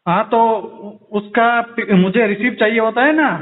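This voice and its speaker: High-voiced male customer on a phone line. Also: moderate pace. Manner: firm, insistent, repetitive. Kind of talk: phone complaint. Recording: tinny phone call recording.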